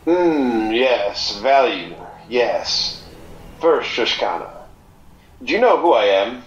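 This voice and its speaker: A man playing a cryptic alien fence and trader in an audio drama, speaking in halting, deliberate riddles. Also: medium pitch, sing-song, reverberant, dull audio. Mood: mysterious, scheming.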